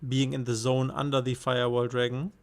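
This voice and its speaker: A man's voice: medium pitch, level tone, brisk. A man from Germany with a German accent, casually commenting on his card game while streaming and thinking aloud with hesitations.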